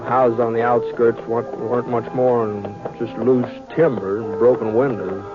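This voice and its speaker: An actor playing a soft-spoken Texas plainsman gunfighter who narrates his trail and stammers through dialogue in a 1950s radio drama. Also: mid-range voice, flat delivery, moderate pace, natural voice. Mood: reflective. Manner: hesitant, stammering, folksy drawl. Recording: tinny old radio broadcast with music.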